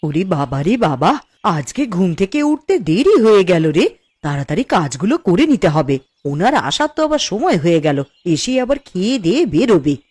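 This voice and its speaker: A woman's voice: fairly low pitch, very animated, normal speed, darkish tone, slightly rough. A woman voicing a peevish, competitive village housewife in a cartoon, with a snappish, complaining way of talking. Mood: flustered. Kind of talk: Bengali cartoon voice acting.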